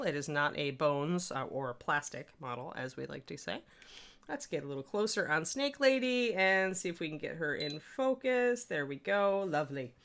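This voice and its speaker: Bright-voiced chatty Midwestern woman. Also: low-pitched. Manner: chatty and rambling, talks to chat while she paints. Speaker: miniature painting streamer. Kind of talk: casual livestream painting tutorial.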